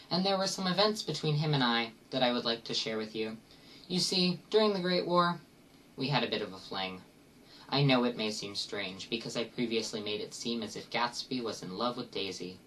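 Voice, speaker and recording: A man's voice: mid-range voice, sharp tone, recorded from a distance, a little hiss or hum, low-quality recording. A man playing a lovelorn, wistful male narrator confiding a secret romance in a dramatic, sentimental monologue. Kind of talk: dramatic monologue from a literary parody.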